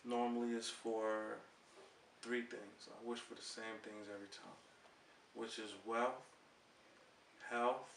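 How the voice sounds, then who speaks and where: A relaxed man spins an easy-going story as a guest on a casual talk show. He sounds far from the mic in an echoey room, with a faint background hiss. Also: lowish voice, slow pace, gravelly, pauses often. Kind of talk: casual interview chat.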